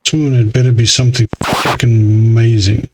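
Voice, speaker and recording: A man's voice: mid-range voice, little pitch movement, brisk, darkish tone, poor audio. A man giving casual let's play commentary in a grumbling, exasperated, mildly sweary way. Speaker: gaming video host.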